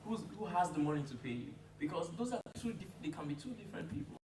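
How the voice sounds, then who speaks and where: Man, panel speaker, measured explanatory advice, echoey hall. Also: sits high, animated. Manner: measured, explanatory, some restarts. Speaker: panelist, founder. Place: hall at a community event. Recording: echoey room from far off, noisy.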